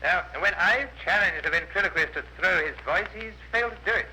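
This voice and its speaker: Cocky newspaperman witness, high sharp voice, dry and combative, old radio drama. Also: a little fast. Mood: defiant. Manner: dry, combative, deferential titles, hesitant fillers. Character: cocky, provocative male newspaper journalist testifying in court. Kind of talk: 1950s radio courtroom drama acting. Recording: noisy vintage 1950s radio recording.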